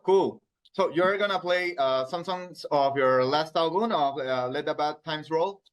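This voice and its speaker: A man's voice: a little high, a little slow. A man in his thirties with a Peruvian Spanish accent, interviewing casually and hesitantly as he searches for words in his second language. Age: 30s. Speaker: radio interviewer.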